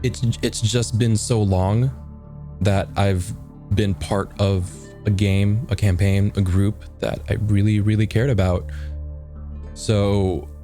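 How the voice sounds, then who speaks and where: A man's voice: fairly low pitch, fairly even intonation, slow, mellow, soft background music. A man improvising reflective character talk as a player in a tabletop game, hesitant and earnest. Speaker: tabletop role-playing player.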